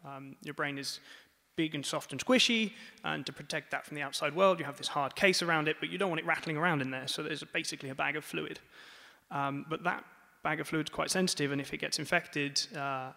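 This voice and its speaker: A man's voice: on the high side, a little fast, creaky, sharp tone. A young man from France with a French accent, telling a personal story live to an audience, chatty and self-mocking with lots of ums. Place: museum event space. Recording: live hall with long reverb.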